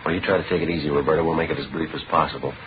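Low, clipped, deadpan radio detective. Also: male voice, very fast, heavy background noise. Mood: calm, probing. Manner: matter-of-fact, deadpan. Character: terse, methodical male police detective narrating his case. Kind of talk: 1950s radio crime drama, clipped scripted narration. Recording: dull old radio broadcast with music.

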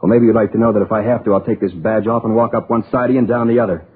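An actor playing a blunt, menacing police detective in a radio crime drama, with a husky voice, on a tinny old recording. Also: male voice, fairly low pitch, fairly even intonation, rapid-fire. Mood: angry, threatening. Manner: blunt, menacing, clipped orders. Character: hard, threatening police detective, protective family man.

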